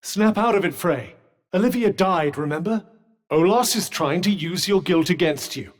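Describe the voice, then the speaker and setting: A man's voice: high-pitched, lively intonation, moderate pace. An actor voicing a sentient magical cuff in a video game, a dry, witty and protective male companion with a British accent and a formal, well-spoken, advising manner. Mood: earnest. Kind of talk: scripted game dialogue. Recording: long reverberant tail on voice.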